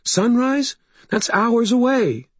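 Man voicing a high, anxious, pleading young woman. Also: moderate pace, dark tone, breathy edge, no room sound. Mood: fearful despair.

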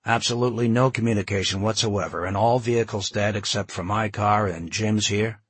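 A man playing a calm, reasonable, friendly peacemaker in an audiobook, with a quiet, persuasive manner. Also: on the low side, fairly quick, husky, no echo. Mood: quiet, questioning.

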